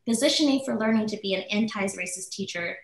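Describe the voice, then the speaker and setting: An earnest woman professor delivers prepared webinar remarks in a measured way. Her voice sits at an ordinary middle pitch. It comes through a video call with a noticeably roomy echo. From United States. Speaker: assistant professor speaking as webinar panelist.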